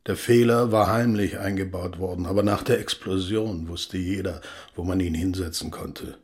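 An actor playing a gruff, guarded older East German father in a radio drama, speaking in terse, halting, plain phrases. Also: sits low, steady tone. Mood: guarded, blunt.

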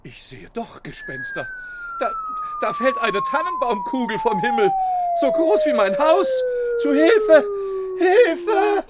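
A man's voice: very high voice, huge pitch swings, takes it slowly, heavy background noise, heavily acted. A man playing a fussy, indignant old Saint Nicholas in a children's audio play, blustering and muttering pompously. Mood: frightened. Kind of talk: children's audio drama acting.

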